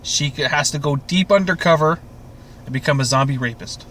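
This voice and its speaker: Bright-voiced crude joking man, noisy recording. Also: on the high side, fairly quick. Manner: crude, profane, animated joking. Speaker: podcast host. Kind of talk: casual chat, TV show recap.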